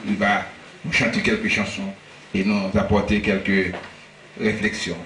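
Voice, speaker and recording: A man's voice: lowish voice, level tone, measured pace, a bit bright. Man introducing a guest in noisy echoing hall. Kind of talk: church introduction. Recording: echoey hall, far mic, noisy.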